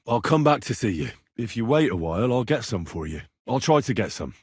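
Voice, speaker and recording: A man's voice: mid-range voice, very animated, brisk, a little mellow, husky, no room sound, studio quality. An actor playing an earnest, reassuring young medieval man tending the sick in a video game, speaking plainly and practically. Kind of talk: video game character dialogue.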